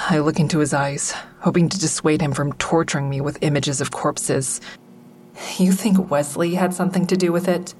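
A woman's voice: low-pitched, slight background noise, close to an everyday voice. An actress playing a guarded, agitated, sardonic woman who narrates and speaks in an audio drama. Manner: sardonic, exasperated. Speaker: narrator and lead character. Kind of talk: first-person audio drama narration and dialogue.

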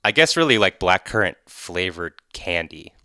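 A man's voice: low voice, big pitch swings, normal speed, sharp tone, clean tone, no echo, studio quality. A man casually and enthusiastically describing food on a podcast, peppering his talk with "like.". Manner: enthusiastic, conversational, frequent "like". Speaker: podcast guest. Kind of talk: casual storytelling.

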